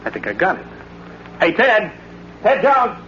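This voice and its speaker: A man's voice: high voice, huge pitch swings, measured pace, fairly bright tone, full character voice. An actor playing a pushy, cynical male newspaper reporter, brash and wisecracking, in a vintage radio drama. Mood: brisk, probing. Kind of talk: 1940s-50s radio drama acting. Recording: dull, lightly noisy vintage radio recording.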